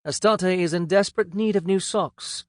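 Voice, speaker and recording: A man's voice: high-pitched, normal speed, no room sound, clean studio sound, pitched above the performer's own voice, lightly acted. A man doing a playful, teasing young woman. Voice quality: light, teasing delivery.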